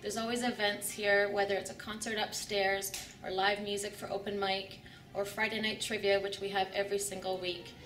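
A woman's voice: medium pitch, flat delivery, moderate pace. A woman who runs a coffee house, speaking in a friendly, welcoming way in a documentary interview. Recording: roomy echo, slightly distant mic, soft music.

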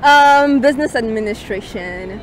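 A woman's voice: on the high side, measured pace, some chatter behind, poor audio. A woman in her twenties giving casual, playful answers as an interview guest, recorded outdoors on a handheld mic. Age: 20s. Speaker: interview guest, university student. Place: open beach, outdoors. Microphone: small handheld mic held by interviewer.

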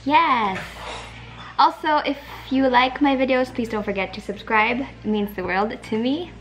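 High-voiced young Filipino woman, unhurried. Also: a bit bright, rough recording. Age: 20s. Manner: chatty, informal, playful. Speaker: vlogger. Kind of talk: casual cooking vlog chat, mixing Tagalog and English. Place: home kitchen and living room, indoors. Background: background music.

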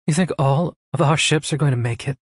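A man's voice: on the high side, steady tone, brisk, breathy edge, no echo, clean studio sound, voice pushed up. A man voicing a tearful but resolute young woman in an audiobook, raising his voice to an earnest, pleading tone.

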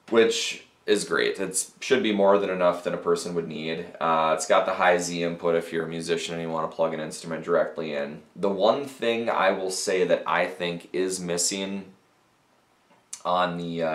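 A man in his thirties casually reviewing audio gear to camera, talking technically with fillers and restarts, recorded in a small office. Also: deep voice. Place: small cluttered office with speakers and mixers. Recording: roomy small-office echo.

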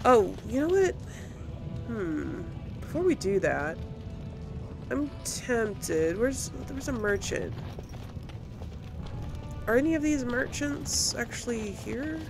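A woman's voice: fairly high pitch, very animated, slow, vocal fry, long pauses, over music. A woman hosting a let's play, chatting casually and thinking aloud as she comments on her game.

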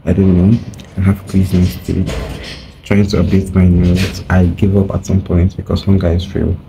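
A young man, talking softly and rambling in a casual vlog diary, filming himself outdoors on a phone held at arm's length. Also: flat delivery, moderate pace, noisy background. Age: late teens. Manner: soft-spoken, low-key and rambling. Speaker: vlogger. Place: outdoors by a brick wall.

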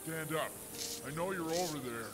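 A man's voice: fairly high pitch, normal speed, lightly acted. An actor voicing a panicky male frontier gunman in a western video game. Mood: alarmed, combative. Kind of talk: video game combat barks. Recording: game audio under music.

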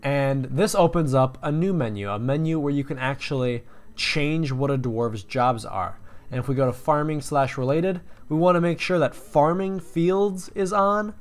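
A man's voice: on the high side, moderate pace. A young man casually walking through a game tutorial, explaining step by step with fillers and restarts. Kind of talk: screen-recorded game tutorial.

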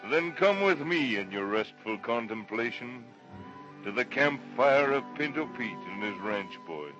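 A man's voice: mid-range voice, lively intonation, a little slow, muffled recording, lightly acted. A man playing a genial, folksy ranch boss and host in 1930s radio style. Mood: wistful, inviting. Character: genial ranch boss hosting a cowboy show. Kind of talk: 1930s radio announcing and acting. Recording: old 1930s radio recording, music behind.

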